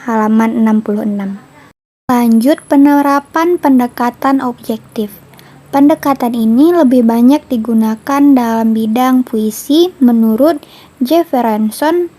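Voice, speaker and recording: A woman's voice: on the high side, mellow. A woman, reading a prepared lesson on literary analysis aloud and stopping to read out each citation. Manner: reads citations aloud steadily. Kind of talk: reading a lecture script.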